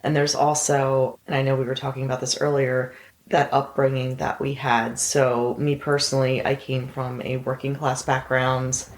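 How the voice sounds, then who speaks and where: A woman with an unusually deep, low voice talks in a measured, reflective way. Her voice rings in an echoey room as she chats with her co-host. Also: level tone. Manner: measured, reflective, agreeable backchannels. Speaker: podcast co-host. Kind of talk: casual conversational chat.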